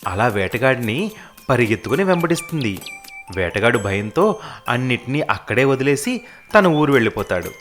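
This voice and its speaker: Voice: medium pitch, sing-song, normal speed, faint music behind. A storyteller narrating a scripted animal fable for children.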